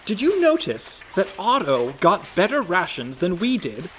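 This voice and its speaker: A man's voice: sits high, wildly swooping pitch, unhurried, background noise, full character voice. An actor playing a fretful, reluctant con man in a comic radio play, full of dry, indignant protests. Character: anxious, reluctant young-sounding con man, male, indignant worrier.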